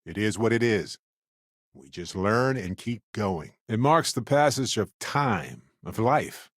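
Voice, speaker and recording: A man playing an affable, chatty space explorer in a video game, with a wry, conversational and expressive delivery. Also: lowish voice, takes it slowly, no room sound, clean studio sound. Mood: reflective. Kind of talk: video game character voice lines.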